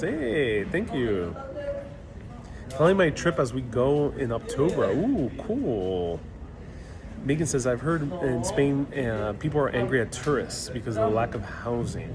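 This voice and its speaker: Easygoing man streaming from a crowded street. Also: medium pitch. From New York City. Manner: easygoing, joking, thinks aloud with fillers. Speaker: travel livestream host. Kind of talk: casual live Q&A, reading viewer comments aloud. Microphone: phone livestream mic. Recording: crowd noise around a phone stream. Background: crowd chatter.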